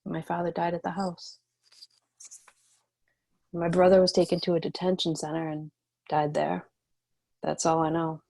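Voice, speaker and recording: Woman acting a low-voiced, guarded resistance leader. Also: flat delivery, normal speed, gravelly, pauses often, a little mellow. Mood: somber, resolute. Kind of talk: screenplay table read, understated dramatic acting.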